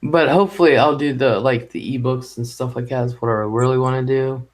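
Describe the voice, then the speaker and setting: A man hosting a casual live stream chat, rambling and halting, with lots of ums and restarts. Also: medium pitch, steady tone. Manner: halting and rambling, with many fillers. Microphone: home microphone.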